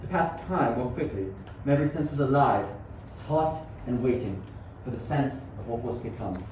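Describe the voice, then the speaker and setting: A man's voice: mid-range voice, moderate pace, lightly acted. A young man acting an earnest, obsessive 19th-century scientist in an amateur radio play, with dramatic, formal delivery. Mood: brooding. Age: young adult. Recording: very echoey, distant, dull, faint noise.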